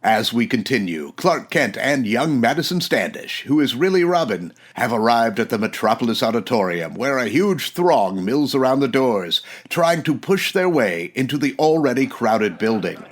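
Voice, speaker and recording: An actor playing an earnest, dramatic announcer narrating a scripted old-time radio superhero serial. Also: male voice, mid-range voice, big pitch swings, moderate pace, few pauses.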